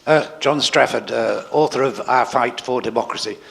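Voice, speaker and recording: A man's voice: mid-range voice, moderate pace, creaky, fairly bright tone. A man in the audience, an author, asking a pointed, formal question from the floor.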